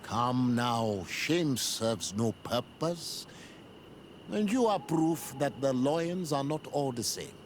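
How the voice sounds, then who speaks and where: A man's voice: mid-range voice, expressive, slow, slight background noise. An actor playing a grave, formal male village leader, wary but gracious, in a video game cutscene. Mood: grateful, reassuring.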